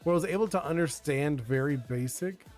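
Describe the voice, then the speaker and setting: A man's voice: a little high, fairly quick, darkish tone, faint music behind. A young man streaming as he designs a card game, talking casually to chat in a rambling way with lots of fillers; his first language is Spanish. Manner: rambling, filler-heavy, reads chat aloud.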